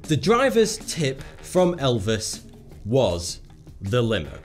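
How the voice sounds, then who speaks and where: A man's voice: mid-range voice, wildly swooping pitch, slow, soft background music. A man giving scripted narration for an explainer video, with a sarcastic, wry delivery.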